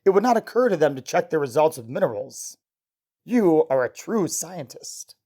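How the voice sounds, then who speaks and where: A woman playing an affectionate, proud scientist husband in an old-time radio drama, tender, encouraging and gently teasing. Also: low-pitched, sing-song, moderate pace. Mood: encouraging, curious.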